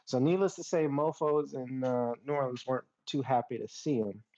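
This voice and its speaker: A man casually recapping a story in slangy, unhurried phrases, recorded with slightly muffled sound. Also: medium pitch, a little mellow. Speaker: panelist on a nerd culture show. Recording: dull, muffled lo-fi audio.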